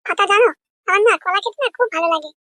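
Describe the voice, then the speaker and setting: A performer voicing a bossy, innocent little girl in a comedy cartoon, childlike, with a pitched-up voice. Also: racing, sharp tone, dry recording. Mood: eager. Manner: instructing, childlike.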